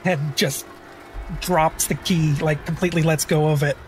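Higher-pitched man playing a tabletop game. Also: steady tone, moderate pace, darkish tone, soft background music. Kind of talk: casual improvised roleplay narration.

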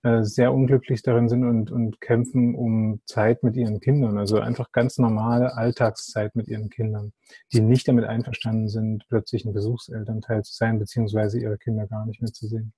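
A man in his thirties interviews someone over a video call. His voice is dark and husky, at a middling pitch. He asks questions reflectively, in a dry-sounding room. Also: flat delivery, fairly quick, no echo, rough recording. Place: plain room indoors.

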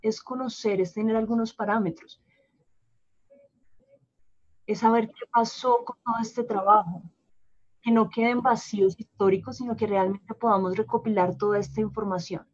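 A woman explaining language planning on a live panel, measured and explanatory, sometimes repeating words. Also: mid-range voice, fairly even intonation. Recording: dull lo-fi livestream audio.

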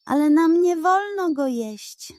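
An anxious storybook goat, acted by a woman, speaks in a high, squeaky voice. She protests and hesitates, dutiful yet easily tempted, recorded close and dry. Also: lively intonation, slow, darkish tone, no echo, heavily acted. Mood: anxious longing.

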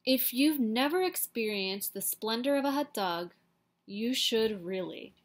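A woman voicing an excitable, possessive and exasperated pigeon in a children's storybook, with dramatic, emphatic delivery. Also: a little high, measured pace. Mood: boastful. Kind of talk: children's picture-book read-aloud.

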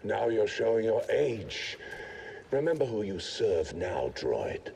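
Deep, mellow, world-weary raider. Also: male voice, very animated, slow, gravelly, a little hiss or hum, full character voice. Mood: wry, friendly. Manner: laid-back, wry, folksy. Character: easygoing, world-weary male raider mercenary. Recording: reverberant, faint game ambience behind.

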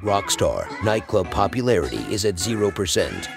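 The low, creaky-voiced man who reads captions aloud on an online meme compilation, music blaring behind him. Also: sing-song, moderate pace. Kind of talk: reading out captions and memes. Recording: loud music under the voice.

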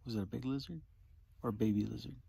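A man's voice: mid-range voice, fairly even intonation, fast, creaky, warm tone, breathy edge, no room sound. A man in his thirties recapping a camping trip to his small child, coaxing her with questions, filmed on a phone held at arm's length outdoors. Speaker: father filming a camping trip. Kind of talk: casual family vlog recap. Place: outdoors in desert scrub. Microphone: phone selfie at arm's length.

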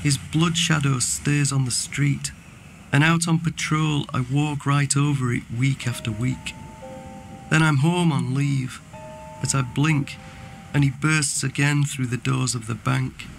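A man reading a poem dramatically as a haunted soldier, deliberate and intense. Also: medium pitch, fairly even intonation, vocal fry, faint music behind. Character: haunted male British soldier recalling a killing. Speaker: poetry reader.

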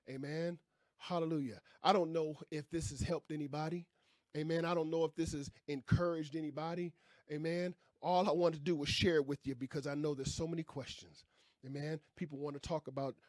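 A man's voice: on the high side, no room sound, clean studio sound. A man in his fifties preaching at a Bible class, emphatic and folksy, dropping amens and hallelujahs between lines. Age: 50s. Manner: emphatic call-and-response preaching with frequent amens; folksy, conversational, gestures with hands. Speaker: pastor. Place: small indoor room with curtain backdrop. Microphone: stand mic in front.

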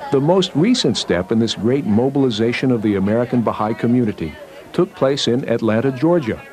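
A man's voice: mid-range voice, expressive, brisk, a little mellow. A man reading scripted newsreel narration.

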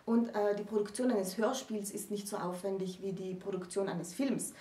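A woman in her thirties explaining literary history in a conversational, deliberate lecture style as a co-presenter. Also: mid-range voice, mellow. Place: small indoor room with bookshelves. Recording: roomy echo, distant microphone.

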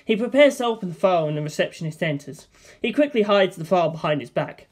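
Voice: medium pitch, lively intonation, quick pace. A narrator reading screenplay scene headings and action lines as scripted narration.

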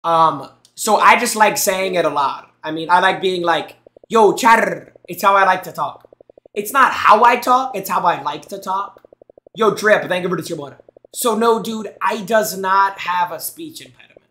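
A man's voice: sits high, moderate pace, pushed and bright, echoey room. A young man streaming on a headset mic in a small room, reacting to gameplay clips with teasing jokes and thanking his chat. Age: young adult. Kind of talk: casual live-stream commentary.

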